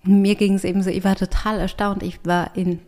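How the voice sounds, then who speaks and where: A woman writer with an Austrian German accent, talking thoughtfully in an interview and often pausing to search for words. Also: mid-range voice, flat delivery, a little mellow. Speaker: writer and artist, guest. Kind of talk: conversational interview.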